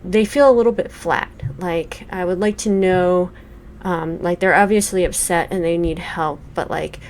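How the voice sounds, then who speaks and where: A woman's voice: on the low side, fairly even intonation, normal speed, a little mellow, a little hiss or hum. A woman in her forties giving casual, thoughtful commentary on a game she is playing, full of ums and likes. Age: 40s. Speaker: game reviewer. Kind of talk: casual let's play commentary.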